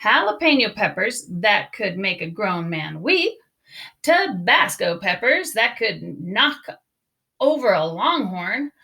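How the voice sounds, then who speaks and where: Bright, very animated woman reading aloud. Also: medium pitch, takes it slowly, vocal fry. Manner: expressive, emphatic. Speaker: storybook reader. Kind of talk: children's read-aloud narration.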